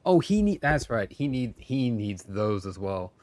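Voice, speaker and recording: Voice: mid-range voice, very animated, unhurried, darkish tone, dry recording. A casual video game streamer chatting with friends in an easygoing, exclamatory way. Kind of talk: casual gaming chat with friends. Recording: game music behind. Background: video game music.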